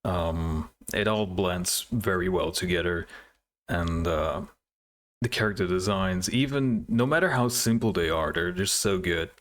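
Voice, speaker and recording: Low-voiced man doing casual reactions. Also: a little slow, a bit bright. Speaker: reaction video host. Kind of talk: casual live reaction commentary. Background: music from the game trailers.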